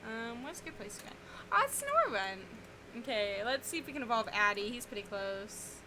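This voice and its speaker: A woman's voice: a little high, sing-song, normal speed. Chatty, giggly woman streamer. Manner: chatty, giggly, swears casually. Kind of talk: casual chat while playing a game. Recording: noisy home stream recording.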